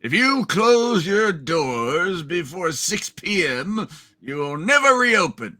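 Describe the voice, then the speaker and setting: Smug old banker villain voiced by a man, bright-edged. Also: sits high, sing-song, slow, full character voice. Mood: threatening. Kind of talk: hammed-up live radio play reading.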